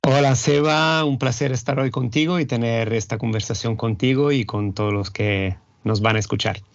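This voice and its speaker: Italian-born male guest, measured and searching, mid pitch, dull dry sound. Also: steady tone, dry recording. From Italy. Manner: thoughtful, searching for words, measured. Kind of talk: reflective interview talk. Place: home, Barcelona.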